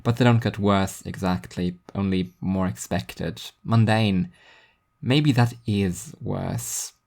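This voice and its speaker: A man's voice: low voice, slow, creaky, a little mellow. A man reading first-person fiction narration in an introspective, wry manner.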